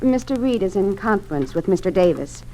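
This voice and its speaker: An actress playing a practical, gently firm woman in an old radio drama, composed and matter-of-fact. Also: mid-range voice, animated, brisk, lightly acted, poor audio. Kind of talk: mid-century radio drama acting. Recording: old radio recording with background noise.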